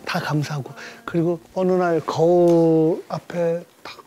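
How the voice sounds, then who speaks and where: A man's voice: a little high, a little slow. A man in his fifties, a guest in a casual chat over drinks, telling stories with big gestures in a small indoor bar set. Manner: animated storyteller, gestures broadly. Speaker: guest entertainer.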